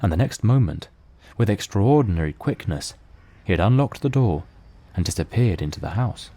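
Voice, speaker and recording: A man narrating a dramatic story from a script in a measured, literary manner, as the narrator of an audio drama. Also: fairly low pitch, lively intonation, dark tone.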